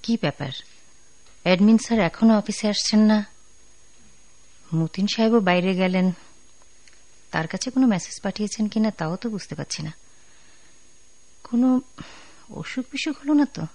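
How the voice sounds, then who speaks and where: A woman's voice: mid-range voice, moderate pace, pauses often, a little mellow, no echo, lightly acted, poor audio. A woman playing an earnest young office worker who reflects with idealism in a radio drama. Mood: worried. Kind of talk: broadcast radio drama acting.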